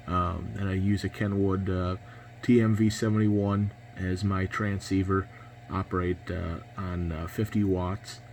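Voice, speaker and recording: A man's voice: deep voice, a little hiss or hum. A man with an American accent chatting casually as an amateur radio operator. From United States.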